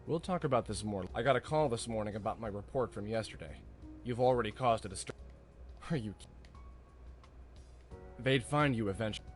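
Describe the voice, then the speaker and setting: A man's voice: lowish voice, brisk, pauses often, soft background music, lightly acted. A man playing a blunt, matter-of-fact investigator in mystery visual novel dialogue. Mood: stern.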